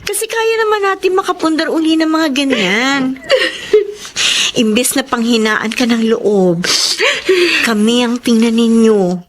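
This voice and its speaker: An actress playing a resolute, hardworking eldest daughter in a radio drama, speaking earnestly and emphatically. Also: female voice, fairly high pitch, animated, a little slow, pauses often. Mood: tearful encouragement. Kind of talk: Tagalog radio drama acting.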